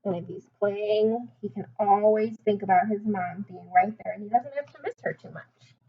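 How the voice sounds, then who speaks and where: A woman's voice: mid-range voice, normal speed, dull audio. A woman teacher reading a storybook aloud to young children, expressively, with simple explanations and questions.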